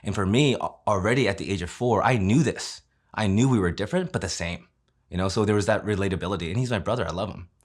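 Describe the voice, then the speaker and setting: Man in his late thirties, low voice, casual and candid telling his story. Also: fast talker. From Kansas City, Missouri, USA. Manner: conversational, often adds tag questions and fillers. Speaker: interviewee. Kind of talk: personal storytelling interview.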